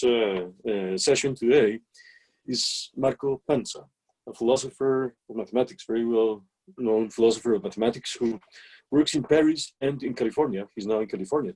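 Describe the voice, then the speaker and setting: A man of middle pitch with a husky voice, moderating over a video call. He talks loosely and rambles with fillers, then introduces the next speaker. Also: darkish tone, poor audio. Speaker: conference moderator. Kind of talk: casual chat and speaker introduction. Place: countryside house.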